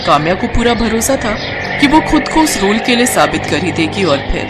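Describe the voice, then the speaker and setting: A woman's voice: mid-range voice, sing-song, moderate pace, loud music behind the voice. Woman narrating a scripted story.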